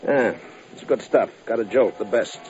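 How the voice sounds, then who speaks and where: An American actor playing a boastful, jealous gangster boss in a radio crime drama, terse and insistent, questioning in short bursts. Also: male voice, lowish voice, moderate pace, a little mellow, soft background music, natural voice. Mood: smug. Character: menacing male bootleg-era gangster boss, boastful, jealous husband. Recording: dull, noisy vintage radio broadcast.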